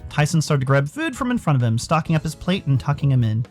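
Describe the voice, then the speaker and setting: American male narrator for a visual novel, highly varied intonation, light music underneath. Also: very animated, quick pace, a little mellow. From Arizona, United States. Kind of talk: expressive scripted story narration. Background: faint music.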